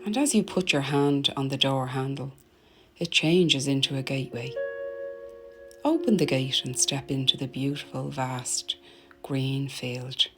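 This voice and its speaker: A woman narrating a guided meditation in a soothing, gentle, deliberate manner, letting her phrases end softly. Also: extremely low pitch. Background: meditation music.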